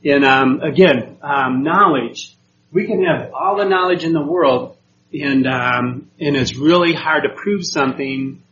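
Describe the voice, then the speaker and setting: A man born in Puerto Rico teaching an informal class, talking haltingly with many ums and often checking with "okay?". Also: a little high, fairly bright tone, dull audio, slight background noise.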